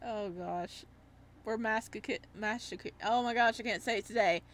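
A woman streamer reading a visual novel aloud in an expressive way, breaking off for casual reactions. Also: mid-range voice, normal speed. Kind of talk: let's-play read-aloud with casual reactions.

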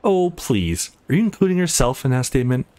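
A man's voice: medium pitch, big pitch swings, brisk, darkish tone, dry recording, close to an everyday voice. A man voicing a cocky young male bully in a visual novel, taunting and contemptuous.

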